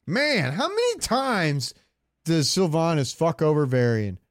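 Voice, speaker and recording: A man's voice: a little high, a little slow, no room sound, studio quality. A man hosting a podcast in casual fan chat, talking freely and profanely. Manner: profane, animated retelling with quoted lines. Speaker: podcast host.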